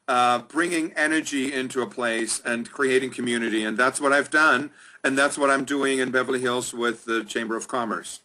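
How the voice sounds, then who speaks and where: A man's voice: mid-range voice, bright, forceful tone. A middle-aged man from Germany, a guest on a video-call interview, talking in an upbeat, chatty way with many ums. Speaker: executive director of a chamber of commerce, guest. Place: indoors, small room.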